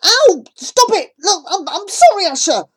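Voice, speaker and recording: An anxious young boy stammers out apologies and promises, as a woman reads his part. He sounds hesitant and guilty, his voice pitched a little above a plain reading voice. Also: animated, normal speed, mellow. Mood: pleading.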